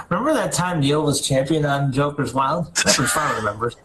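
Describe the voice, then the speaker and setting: A man's voice: on the high side, animated, moderate pace, a bit bright, a little hiss or hum, low-quality recording. A man playing as a game show contestant, laid-back and wry. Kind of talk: casual game play.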